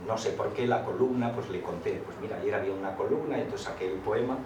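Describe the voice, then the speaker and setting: Older Castilian Spanish man, low-pitched voice. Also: quick pace. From Asturias, Spain, in Madrid from age eight. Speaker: poet, guest speaker. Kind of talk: conversational storytelling with recited verse. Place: school classroom, talk to teachers. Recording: echoey room, distant mic, noisy.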